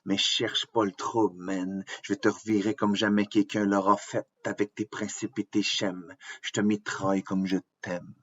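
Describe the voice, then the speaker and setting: Low, even-toned Quebec male slam poet. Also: normal speed, few pauses. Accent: Quebec French. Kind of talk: rhythmic spoken-word slam poetry recital. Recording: dull, lo-fi, dry close sound.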